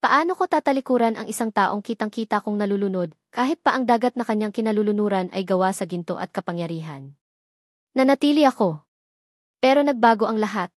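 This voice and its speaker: A woman's voice: medium pitch, lively intonation, a little fast, dry recording, clean studio sound. A woman with a Filipino accent reading a scripted, dramatic first-person story as narrator.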